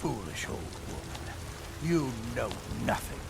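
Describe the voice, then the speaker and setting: A man's voice: mid-range voice, big pitch swings, slow pace, gravelly, music in the background, exaggerated voice. An actor playing a bitter, scornful townsman in a fantasy video game.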